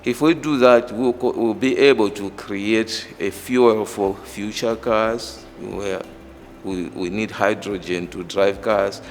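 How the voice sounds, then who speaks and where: A middle-aged man with a Malawian accent, a professor explaining work and background in a measured, halting way. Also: fairly low pitch, steady tone, slight background noise. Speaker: engineering professor. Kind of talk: interview monologue explaining own work and background. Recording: reverberant hall, music underneath. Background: background music.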